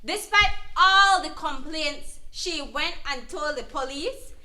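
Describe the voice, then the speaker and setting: A woman's voice: high-pitched, lively intonation, takes it slowly, pushed and bright, exaggerated voice. A woman playing an earnest, emphatic advocate who counsels an abused mother in an educational dramatization. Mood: grave, emphatic. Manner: emphatic, instructive. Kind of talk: educational dramatization, deliberate explanatory delivery.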